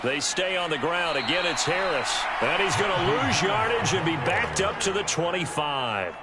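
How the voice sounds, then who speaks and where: A man's voice: high-pitched, lively intonation, normal speed. A man playing an American play-by-play football announcer in a video game, with a punchy, polished broadcast delivery. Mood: announcing. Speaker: play-by-play sports commentator. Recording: loud game crowd noise behind.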